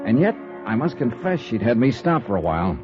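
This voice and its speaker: A man's voice: mid-range voice, very animated, fairly quick, music in the background, lightly acted. An actor playing a wry, probing male private investigator in a vintage radio noir drama. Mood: wry narration. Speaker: lead actor and narrator. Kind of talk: 1950s radio noir acting. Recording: muffled old radio recording, faint noise.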